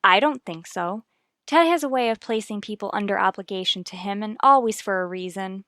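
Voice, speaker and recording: A woman playing a worried, firm mother with a measured, formal manner in a radio soap opera. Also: mid-range voice, sharp tone, no room sound, studio quality. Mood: insistent.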